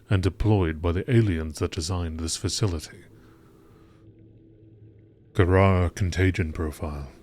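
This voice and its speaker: A man reading scripted narration in a measured, formal nature documentary style. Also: low-pitched, darkish tone. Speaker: gaming channel narrator.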